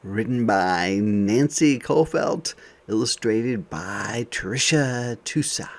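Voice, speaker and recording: A man reading a picture book aloud to young children as a teacher, expressive, with softer conversational asides. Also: fairly low pitch, slow pace.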